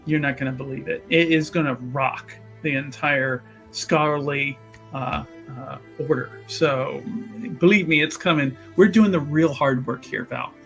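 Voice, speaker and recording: A man's voice: a little high, measured pace, vocal fry, a bit bright, soft background music. A man playing a self-important, zealous scholar in a tabletop game, speaking emphatically and repeating himself as he rallies a devoted student. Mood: boastful, defiant.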